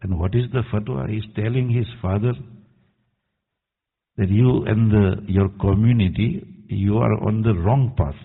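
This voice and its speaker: A man's voice: on the low side, moderate pace, creaky, husky. A man preaching an earnest, admonishing sermon as imam, quoting Arabic verses. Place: mosque hall. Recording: tinny echoey hall recording.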